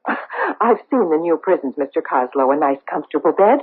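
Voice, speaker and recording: Radio drama socialite: bitter, challenging, husky-edged, on a tinny narrow-band broadcast. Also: female voice, low-pitched, big pitch swings, moderate pace, heavily acted. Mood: bitter, indignant. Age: middle-aged. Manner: intense, theatrical, challenging. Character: embittered, vengeful socialite wife hiding a painful past. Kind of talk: 1970s radio drama acting. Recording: old radio broadcast, thin narrow phone-like sound.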